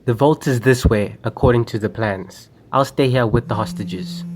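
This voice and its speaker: A man's voice: mid-range voice, lively intonation, moderate pace, noisy background, lightly acted. A young man acting a commanding, impatient armed bank robber in an amateur radio drama. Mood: menacing. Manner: commanding, curt. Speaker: student actor.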